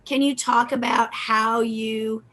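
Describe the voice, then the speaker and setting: A middle-aged woman hosting an interview over a video call, asking questions casually with hesitant pauses. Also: fairly high pitch, steady tone, sharp tone. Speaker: interviewer, library host. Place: indoor room at home, on a video call.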